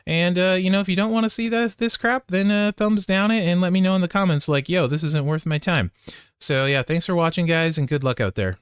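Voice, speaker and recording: A man's voice: sits high, a little fast, smooth, dry recording, studio quality. A man giving casual, off-the-cuff gaming commentary as a content creator, in a blunt, conversational way. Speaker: video game content creator and host.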